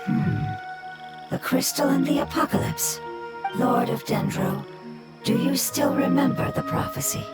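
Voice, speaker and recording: A woman's voice: high-pitched, a little slow, loud music behind the voice. A woman voicing a serene, sage fungus spirit in a game, speaking formally and breathily. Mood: solemn. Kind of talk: video game character acting.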